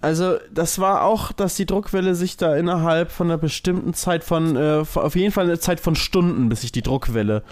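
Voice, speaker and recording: A man with a slightly high voice talks very quickly in a casual podcast conversation. He thinks aloud, rambling from one loose clause to the next. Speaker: podcast co-host.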